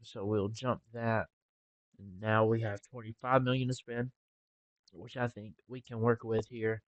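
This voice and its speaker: Stuffy-nosed man with a low voice. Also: measured pace, dry recording, highs cut off. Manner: relaxed, rambling with filler ums. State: congested, stuffy nose. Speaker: gaming channel host. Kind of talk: casual gameplay commentary.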